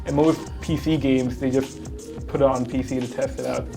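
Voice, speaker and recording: A man argues his side as a debate contestant in casual speech. His delivery stays fairly flat and middle-pitched, with music clearly heard behind him. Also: normal speed. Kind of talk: casual argumentative chat.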